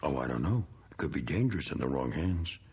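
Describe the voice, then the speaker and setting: Middle-aged American veteran, very low husky voice, needling, bitter, slangy, 1960s television drama. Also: male voice, sing-song, brisk, a little mellow, full character voice. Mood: menacing. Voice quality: gravelly. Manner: folksy, taunting, slangy. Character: needling, bitter male war veteran, rough working man.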